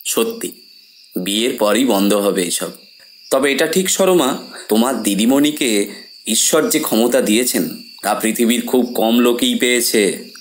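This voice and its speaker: A man's voice: medium pitch, lively intonation, measured pace, long pauses, slight background noise, natural voice. An actor playing a confident, devoted fiancé in his thirties, speaking reassuringly and emphatically in an audio horror drama. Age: 30s.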